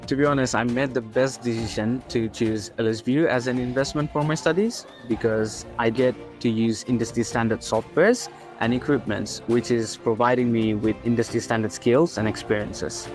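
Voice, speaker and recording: Student guy being earnest, soft music behind. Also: mid-range voice, normal speed. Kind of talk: promotional testimonial.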